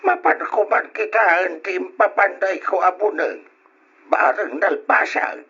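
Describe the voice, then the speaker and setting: A man playing a kindly breathy uncle. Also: sits high, animated, measured pace, pushed and bright, exaggerated voice. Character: kindly middle-aged uncle. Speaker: uncle character. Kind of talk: radio drama acting. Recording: dull lo-fi radio recording.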